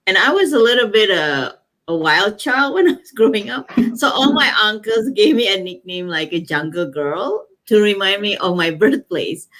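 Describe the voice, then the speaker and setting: A woman in her forties from Indonesia with an Indonesian accent, chatting in a lively way as an interview guest, with frequent laughs and "like, you know" fillers. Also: mid-range voice, normal speed, poor audio. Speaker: guest, climate activist. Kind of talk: casual storytelling interview.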